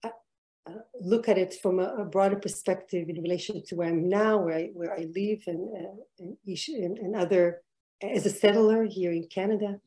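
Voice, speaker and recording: A middle-aged woman from Israel speaks slowly and reflectively over a video call, pausing often with ums and restarting her sentences. Her voice is warm and dark in tone, at an ordinary middle pitch. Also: fairly even intonation, rough recording. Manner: hesitant, many fillers and restarts. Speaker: artist guest. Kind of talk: reflective interview answers.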